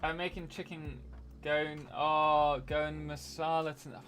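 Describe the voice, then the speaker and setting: A man's voice: a little high, level tone, unhurried, fairly bright tone, soft background music. A young man hosting a casual live stream while playing a game, rambling and answering chat messages.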